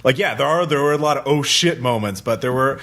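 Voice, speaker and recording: A man hosting a podcast, chatting and asking questions in a halting, think-aloud way. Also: mid-range voice, fairly bright tone. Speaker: podcast host. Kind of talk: casual chat, interviewing.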